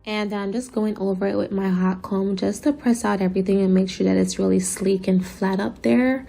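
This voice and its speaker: Raspy young woman, gravelly late-night voiceover, chatty and gushing. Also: medium pitch, fairly even intonation, moderate pace, warm tone, reverberant, soft background music. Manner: chatty, gushing, says "you guys" often. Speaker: beauty vlogger. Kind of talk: casual product review voiceover. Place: home at night, sirens outside.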